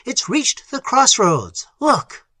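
An anxious, excitable man on a monster chase, played by a male reader, from a horror storybook. His voice is hoarse and pushed high, shouting with urgent force. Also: slow, dry recording, clean studio sound, full character voice. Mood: excited, panicky. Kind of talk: dramatized horror story reading.

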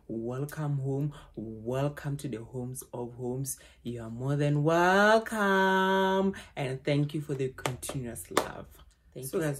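A young South African woman with a loud voice, chatting casually to a phone camera at home as a vlogger, rambling with frequent restarts. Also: low-pitched, a little hiss or hum.

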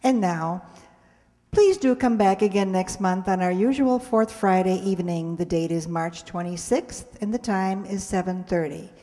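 A woman's voice: mid-range voice, normal speed, mellow. A woman in her sixties giving semi-scripted thanks and announcements to an audience from a stage. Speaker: member of a radio players group giving closing announcements. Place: stage of a community hall. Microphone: stand microphone on stage, recorded by audience camera. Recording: echoey hall sound.

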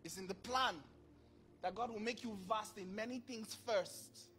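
A man in his thirties preaching a sermon into a handheld mic on a large church stage, acting out dialogue with sudden bursts of loudness. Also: high-pitched, a little slow. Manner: animated, acts out dialogue, bursts of volume. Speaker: pastor.

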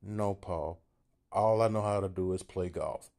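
A man voicing a depressed, washed-up golfer in his fifties who sounds weary and sulky, mumbling low. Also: steady tone, a little slow, a little mellow. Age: 50s.

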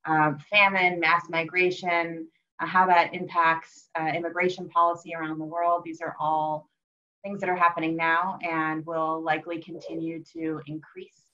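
Brief: female professor in her thirties, low flat delivery, dull muffled audio. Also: normal speed. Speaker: political science professor. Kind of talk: panel self-introduction. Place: home room with wall map, video call. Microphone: webcam on video call.

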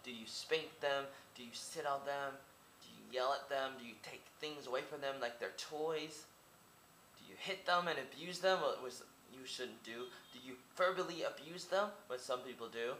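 A man's voice: medium pitch, normal speed, bright, forceful tone, echoey room, a little hiss or hum, rough recording. A young man from Oregon rambling to his webcam in a small room, firing off rhetorical questions and repeating himself. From Oregon, United States. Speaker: vlogger. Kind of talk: casual monologue to camera. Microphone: webcam at close range.

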